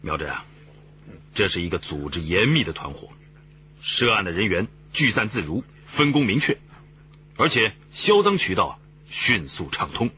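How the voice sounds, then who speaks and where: An actor playing a seasoned police chief who explains things in a measured, authoritative way in a radio drama. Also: male voice, deep voice, sing-song, bright, forceful tone, heavily acted. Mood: measured, serious. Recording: slightly dull, noisy recording.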